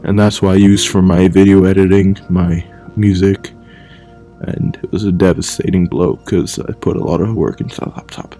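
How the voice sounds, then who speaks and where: Guy ranting to camera at home late, blunt and sweary, lowish mellow voice, bit of music faint behind. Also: little pitch movement, normal speed. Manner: blunt and rambling, swears freely; speaks low and hushed at times. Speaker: vlogger. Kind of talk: casual monologue to camera. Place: at home at night.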